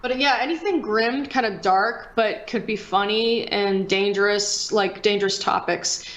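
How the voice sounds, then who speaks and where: A woman's voice: mid-range voice, moderate pace, a bit bright, low-quality recording. A woman co-hosting a casual podcast about movies, talking in a blunt, enthusiastic way with frequent swearing. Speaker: podcast co-host.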